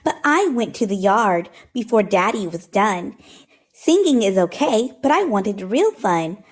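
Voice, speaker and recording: A woman hosting storytime, reading a rhyming picture book aloud in an expressive, rhythmic, sing-song way. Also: medium pitch, a little slow.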